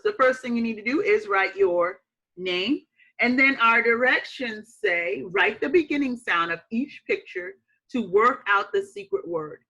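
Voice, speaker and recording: A woman's voice: mid-range voice, bright, forceful tone. A woman teaching a phonics lesson to young children as their teacher, using simple phrases, repeating points and asking questions. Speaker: elementary school teacher.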